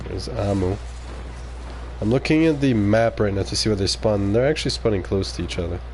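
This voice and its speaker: Man, native French speaker, live game streamer, lowish voice, casual running commentary, faint background hiss. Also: brisk, a little mellow. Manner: casual, reacting aloud to the game, muttering asides.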